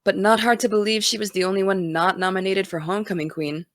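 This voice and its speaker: A woman's voice: mid-range voice, fairly even intonation, fast, dry recording, clean studio sound, lightly acted. A woman playing a wry, distracted young student. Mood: busy, chatty. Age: young adult. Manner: casual, wry. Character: driven, distracted college-bound young woman, dryly joking. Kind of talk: naturalistic audio drama dialogue.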